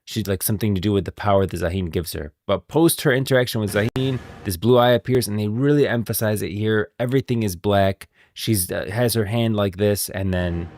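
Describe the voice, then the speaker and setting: A man's voice: lowish voice, fairly quick, hardly any pauses, darkish tone, slight background noise. A man doing casual live-stream commentary, talking in an emphatic, repetitive, slangy way.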